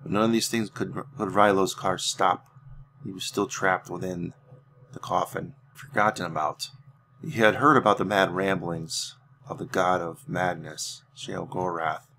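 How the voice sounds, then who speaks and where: A man narrating fantasy lore as a game roleplay storyteller, solemn and deliberate, with dramatic pauses. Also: low voice, steady tone, vocal fry, pushed and bright, slight background noise. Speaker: host narrating a video game roleplay series.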